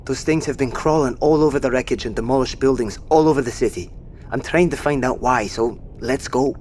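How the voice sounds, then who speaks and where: Actor as insistent male survivor over faint rumble. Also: fairly quick, darkish tone, lightly acted. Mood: urgent, insistent. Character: urgent, wary male survivor tracking giant creatures, commanding but courteous. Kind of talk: naturalistic audio drama acting. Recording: faint low rumbling background noise.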